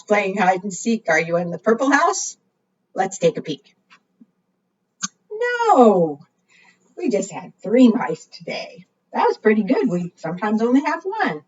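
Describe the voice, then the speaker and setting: A woman reading aloud to young children as a storytime leader, in a sing-song way, often putting questions to her listeners. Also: a little high. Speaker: librarian leading preschool storytime. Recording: muffled, distant microphone.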